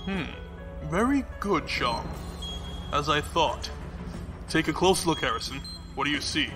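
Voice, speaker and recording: Shrewd military commodore for sci-fi audio drama: measured, exacting, higher-set voice over prominent music. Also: male voice, expressive. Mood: cool, probing. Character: shrewd, composed male military fleet commodore. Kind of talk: science fiction audio drama acting. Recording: music behind the voice.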